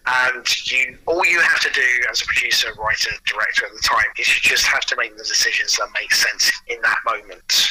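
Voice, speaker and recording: Male interview guest, very bright forceful tone, husky edge, halting conversational storyteller who restarts his phrases. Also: medium pitch, low-quality recording. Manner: halting, repeats words as he starts sentences. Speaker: interview guest, audio producer and writer. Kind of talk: casual conversational anecdotes.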